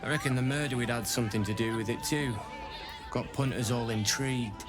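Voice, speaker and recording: An actor with a British accent playing a chatty, cheerfully morbid, opportunistic tavern keeper in a video game. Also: male voice, mid-range voice, fairly even intonation, a little fast, close to an everyday voice. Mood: wry, chatty. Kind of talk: video game character acting. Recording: music and pub crowd behind.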